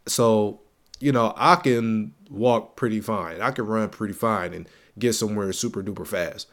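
A man's voice: fairly low pitch, moderate pace, fairly bright tone. A young man hosting a casual solo gaming podcast, a little stuffy and congested, talking in slang with a hype-man energy and repeating his lines for emphasis. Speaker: podcast host.

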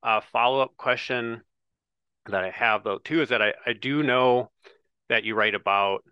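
A man's voice: mid-range voice, moderate pace, bright, forceful tone, smooth, no room sound, dull audio. A man hosting a podcast, asking long, rambling questions full of hedges and fillers. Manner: rambling, hedging, many fillers. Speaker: podcast host. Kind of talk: casual interview hosting.

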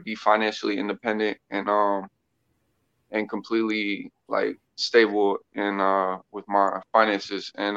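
A man introducing himself as the social media person, halting, with many fillers and restarts. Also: fairly low pitch, fairly bright tone, smooth. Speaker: social media team member. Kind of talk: casual self-introduction. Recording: dull lo-fi audio.

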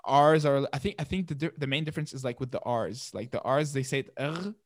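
A man's voice: medium pitch, fairly quick, a little hiss or hum. A man in his twenties from Palestine, a guest chatting casually in an interview, often restarting and repeating words. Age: 20s.

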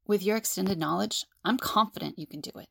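An actress playing a calm, serious, sensible seer in an audio drama, measured and earnest. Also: female voice, medium pitch, vocal fry, no room sound, clean studio sound.

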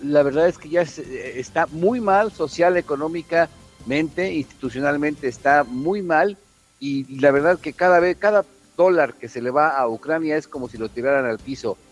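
A man giving informal, opinionated news commentary on a morning radio show, in colloquial words with a halting delivery. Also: on the high side, soft background music.

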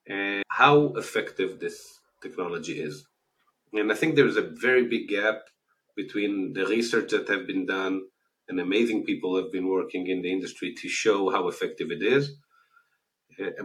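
A man's voice: on the low side, a bit bright. A man in his forties from Israel with an Israeli accent, hosting a casual solo podcast in an earnest, explanatory way with frequent hesitations. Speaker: podcast host.

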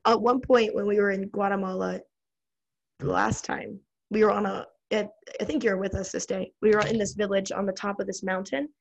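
American girl chatting with a friend, lots of "like"s, fry in her voice, bit husky. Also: medium pitch, fairly even intonation, brisk. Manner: chatty, filler-heavy, laughs often. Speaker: interviewer. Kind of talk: casual chat.

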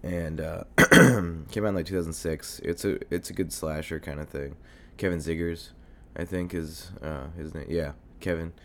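A young man with a very deep voice, made hoarse by a cold, hosts his solo podcast. He rambles casually, swears freely and fills gaps with ums. He sounds chesty and congested, recorded on a desk mic at home. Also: steady tone, moderate pace, darkish tone. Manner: rambling, swears often, many fillers. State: sick, congested and coughing. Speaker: podcast host. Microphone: desk mic near a computer.

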